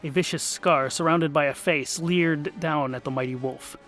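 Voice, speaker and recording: A man's voice: on the high side, normal speed, fairly bright tone, soft background music. A man narrating a comic fantasy audio drama in a wry, mock-epic, emphatic theatrical style.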